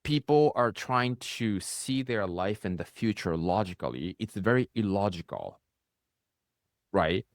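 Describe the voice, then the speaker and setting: Man, Japanese accent, low voice, dry close sound, reflective coaching talk, keeps asking "right?". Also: lively intonation, a little slow, no echo. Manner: speaks in rising bursts, often ends with "right?". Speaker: spiritual coach hosting a conversation. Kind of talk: reflective conversational teaching.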